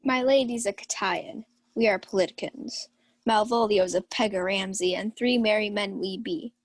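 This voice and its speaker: Young woman as a creaky-voiced, drunken carousing uncle. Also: medium pitch, normal speed, no room sound, close to an everyday voice. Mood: drunken, boisterous. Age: young adult. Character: Sir Toby Belch, drunken rowdy carousing uncle. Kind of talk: 1940s radio play acting of Shakespeare.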